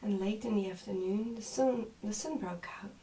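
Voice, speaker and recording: A woman reading a storybook as soft-spoken, measured ASMR narration. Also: medium pitch, echoey room, far from the mic, a little hiss or hum, poor audio. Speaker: storybook reader.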